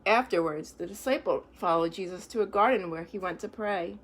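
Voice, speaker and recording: A woman reading picture books aloud to young children, pausing to explain things in simple, reassuring words. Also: medium pitch, slightly rough.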